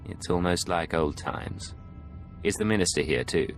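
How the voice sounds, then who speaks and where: Very deep, gravelly male secret agent. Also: moderate pace, soft background music. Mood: calm. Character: cool, bitter male secret agent in a space opera. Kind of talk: cinematic video game acting.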